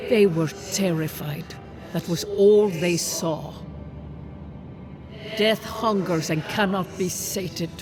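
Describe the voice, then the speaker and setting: An actor voicing a solemn ancient spirit guardian of a fallen people in a fantasy game, speaking formally and gravely. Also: medium pitch, close to an everyday voice. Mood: grave, foreboding. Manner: slow, grave, formal, archaic phrasing. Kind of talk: dramatic fantasy video game acting. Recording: game music under the voice.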